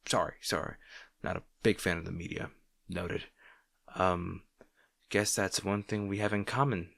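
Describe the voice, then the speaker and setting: Man playing a low-voiced, snarky supervillain rival. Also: steady tone, gravelly, a bit bright, dry recording, studio quality, full character voice. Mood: softer, concerned. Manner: teasing, sardonic, halting when flustered. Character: snarky male supervillain rival, secretly worried about his hero. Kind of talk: dramatic roleplay monologue addressed to the listener.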